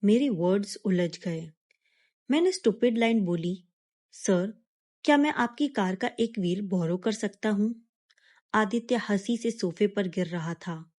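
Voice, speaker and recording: A woman narrating a scripted first-person suspense story in a measured, dramatic manner with pauses. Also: medium pitch, lively intonation, darkish tone.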